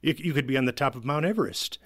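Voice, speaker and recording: A man of medium pitch talks as a guest in a panel conversation. He explains informally, in everyday conversational speech. The sound is dry and free of room echo. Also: fast. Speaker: guest from an audio drama team. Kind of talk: casual panel discussion.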